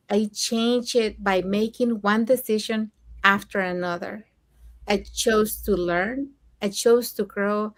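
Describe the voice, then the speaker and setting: A woman from Mexico co-hosts an online business show and shares her own story in an earnest, steady way. She is a grandmother and speaks plainly to her listeners. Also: medium pitch. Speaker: co-host.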